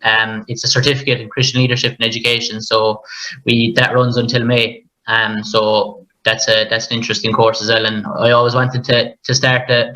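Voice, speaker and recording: A man in his twenties, a guest teacher, speaking plainly with halting repeats. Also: medium pitch, sharp tone, muffled recording. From Limerick, Ireland. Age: 20s. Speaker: guest, primary school teacher.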